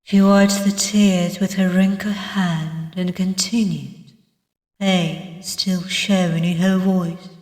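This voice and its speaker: Female let's player, native Russian, dramatic visual novel narration, slow pace, long hall reverb. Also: fairly low pitch, steady tone, a little mellow. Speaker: let's player reading the game aloud. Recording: echoey, long reverb, distant sound.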